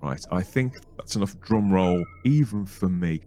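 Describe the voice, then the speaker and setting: A man's voice: deep voice, lively intonation, a little slow, loud music behind the voice. A man with a Birmingham accent hosting a podcast, reading a playful, chatty intro and poking fun at himself. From England. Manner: playful, chatty, self-mocking. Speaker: podcast host.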